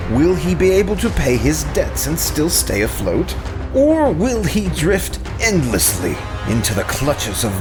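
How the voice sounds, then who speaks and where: A grandiose radio serial announcer, put on by a man, pompous and excitable. He swoops up high with melodramatic flourish, with music behind him. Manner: pompous, theatrical.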